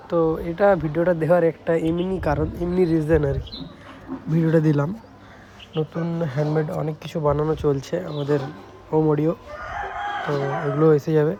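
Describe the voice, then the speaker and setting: Muffled, flat-toned West Bengal Bengali man. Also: fairly high pitch. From West Bengal, India. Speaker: speaker repair shop owner presenting his work. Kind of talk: casual vlog commentary. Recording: muffled lo-fi sound with faint noise.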